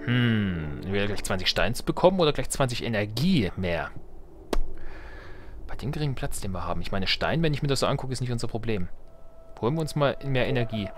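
A man's voice: medium pitch, lively intonation, fairly quick. A man giving casual let's play commentary, musing to himself with dry, playful wordplay.

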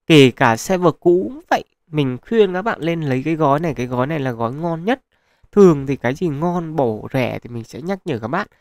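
A man's voice: a little high, no room sound, clean studio sound. A man hosting a gaming channel, talking viewers through a mobile game in a casual, chatty way with plenty of fillers. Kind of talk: casual gameplay commentary. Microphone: close mic, voice-over on screen capture.

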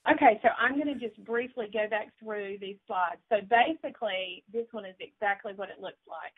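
A woman's voice: mid-range voice, normal speed, husky. A woman presenting a webinar as associate dean, rambling with many fillers over a video call. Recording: phone-like video call audio.

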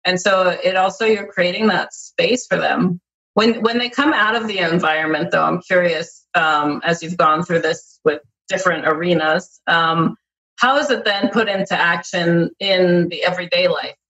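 Host type: woman, thirties, husky, lowish voice, thoughtful and meandering. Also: moderate pace, a bit bright, highs cut off. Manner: thoughtful, rambling with restarts and "like". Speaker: podcast host. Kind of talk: casual interview chat. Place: indoor room at home. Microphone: headphones on video call.

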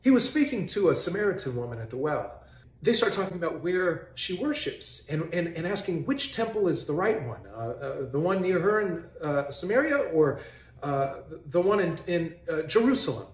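A man in his forties hosting a faith video, giving sarcastic, mock-incredulous commentary straight to camera in a bright living room. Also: on the high side, big pitch swings, normal speed. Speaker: host of a faith video series. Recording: roomy echo in a home.

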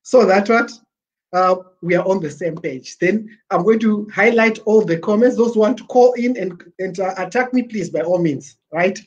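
A Zimbabwean man in his forties talking on a webcam livestream, animated and gesturing, slipping Shona words into his English. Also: high-pitched, normal speed, husky, highs cut off. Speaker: livestream presenter. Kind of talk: casual livestream pitch. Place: small plain room indoors. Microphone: webcam at desk.

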